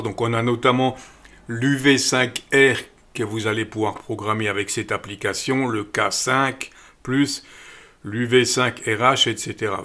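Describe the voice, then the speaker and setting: A middle-aged man calmly walking viewers through an app step by step, with frequent fillers. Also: fairly low pitch. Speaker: radio equipment channel host. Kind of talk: screen-recorded app tutorial voice-over.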